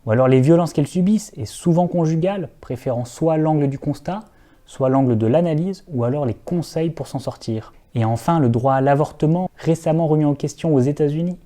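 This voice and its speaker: Young male essay host, slightly high, very mellow dark tone, earnest with wry asides. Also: brisk. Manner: earnest, lightly ironic asides to viewers. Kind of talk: scripted video essay narration.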